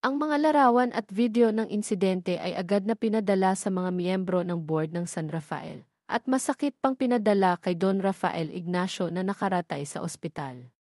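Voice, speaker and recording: Female storyteller for scripted audio drama, medium pitch, very little room sound. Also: lively intonation, moderate pace, darkish tone, no echo, studio quality.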